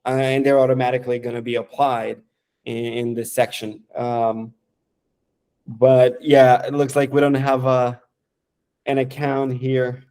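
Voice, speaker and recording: Mellow-voiced man demoing software. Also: medium pitch, little pitch movement, clean tone. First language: Romanian. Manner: halting, lots of fillers and restarts. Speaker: software developer. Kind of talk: casual screen-share product demo.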